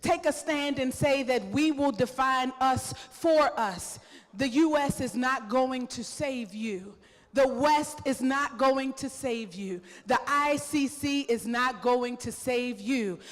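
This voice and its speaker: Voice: high voice, slow pace. An event organizer giving a rousing convention speech, rallying the room with rhetorical questions. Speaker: event organizer introducing a guest. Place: large convention hall. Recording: echoey hall.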